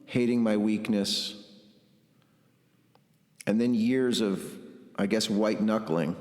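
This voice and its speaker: A man delivering an intense, blunt, reflective personal monologue with deliberate pauses. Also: fairly low pitch, a little mellow. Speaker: podcast host and musician. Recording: roomy echo, long reverb, music underneath.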